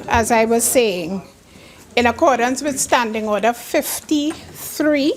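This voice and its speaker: Lady, kinda high voice, very slow and formal, reading out a parliament motion. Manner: measured, formal. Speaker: member of parliament moving a motion.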